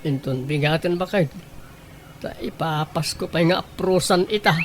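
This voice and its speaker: A man's voice: on the high side, normal speed, over loud music, natural voice. A man playing an exasperated but doting husband who fusses and protests over his precious rooster, in a comedic radio drama. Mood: pleading, mournful. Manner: fussy, questioning, protesting.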